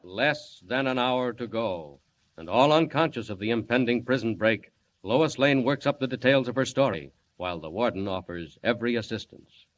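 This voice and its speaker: A man's voice: mid-range voice, animated. Dramatic male radio serial narrator. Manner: dramatic, measured announcer delivery. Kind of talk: 1940s radio narration, scripted. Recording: dull old radio recording.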